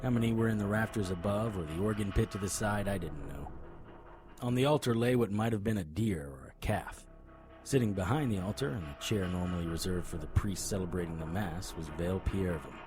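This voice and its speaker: An actor playing a brooding, wry investigator who narrates a noir-style audio drama in a dry, hard-boiled manner. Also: male voice, deep voice, fairly quick, over music, natural voice. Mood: grim, wry. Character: brooding, wry, stubborn male supernatural investigator. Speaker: narrator and lead character.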